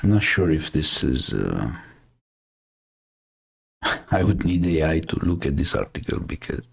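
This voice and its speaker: Husky low-voiced Romanian man. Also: very animated. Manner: halting, thinking aloud with many fillers. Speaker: host. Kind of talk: casual live news commentary.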